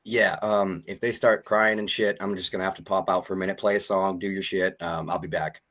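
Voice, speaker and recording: A man from Maine in his thirties, speaking as a guest in a casual interview. He rambles in a laid-back way and swears freely. His voice sits low. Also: steady tone, a little fast, fairly bright tone. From Maine, USA.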